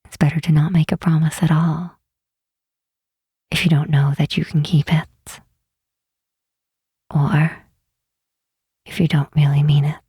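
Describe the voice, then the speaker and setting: Woman acting as a gentle demon queen, real breathy asmr whisper, low n mellow, slow and tender. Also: little pitch movement, no room sound, clean studio sound, full character voice. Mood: tender, reassuring. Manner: unhurried, hushed, tenderly reassuring. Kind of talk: soft ASMR roleplay, intimate and slow.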